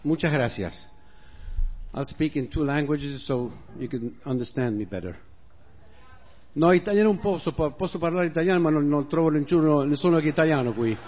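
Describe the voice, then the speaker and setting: A man's voice: a little high, lively intonation, a little fast. Multilingual man joking from a stage. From Argentina. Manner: switches languages, joking and conversational. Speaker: musician addressing a concert audience. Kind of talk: stage introduction to an audience. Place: outdoor concert stage. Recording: muffled live recording with audience.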